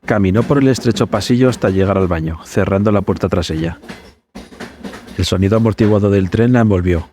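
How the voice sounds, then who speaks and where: A man hosting a podcast, narrating a scripted story in a measured, suspenseful manner. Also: fairly low pitch, dark tone, a little hiss or hum.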